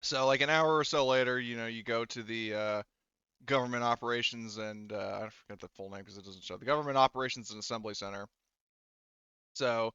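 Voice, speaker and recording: A man speaking casually and haltingly as he narrates and runs a tabletop roleplaying game as game master. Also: mid-range voice, bright, forceful tone, smooth, dry recording, dull audio. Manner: halting, conversational, casual swearing.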